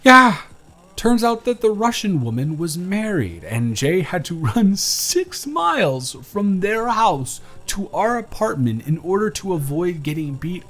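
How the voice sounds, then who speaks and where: A man's voice: sits high, fairly bright tone, full character voice. A young man playing a chatty, friendly barista who is sweet on a friend, in an intimate one-sided audio roleplay with casual, slightly stammering delivery. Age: young adult. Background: music.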